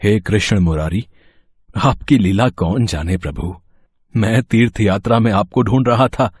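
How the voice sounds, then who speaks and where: A man's voice: lowish voice, a little slow, a little mellow, slightly rough, no room sound, studio quality. A man playing a devout, affectionate father in a devotional story, speaking in an earnest, reverent way. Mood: reverent, grateful. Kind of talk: Hindi devotional story acting.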